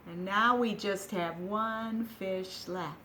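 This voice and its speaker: A woman teaching young children over a video call, talking in a slow, patient, sing-song way. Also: medium pitch, steady tone, bright, forceful tone, a little hiss or hum. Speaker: preschool teacher.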